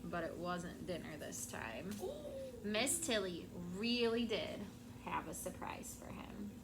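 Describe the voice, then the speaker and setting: A woman teacher reading a picture book aloud to her class, expressive and stopping to prompt the children. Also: on the low side, takes it slowly, noisy background.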